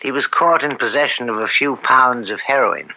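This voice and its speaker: Upright judge dad, precise and courtly, middle pitch, clear forceful edge, vintage radio. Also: male voice, moderate pace, rough recording. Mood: measured reproof. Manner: formal, precise, gently wry. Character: mild, principled judge and affectionate father. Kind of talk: old-time radio drama acting. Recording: vintage radio drama recording.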